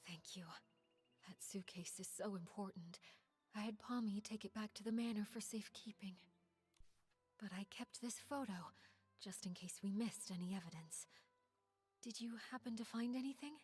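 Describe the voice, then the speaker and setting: An actress voicing a refined, elegant woman with a dramatic air and a breathy voice in a video game. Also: medium pitch, normal speed, darkish tone, faint music behind, lightly acted. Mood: subdued, apologetic.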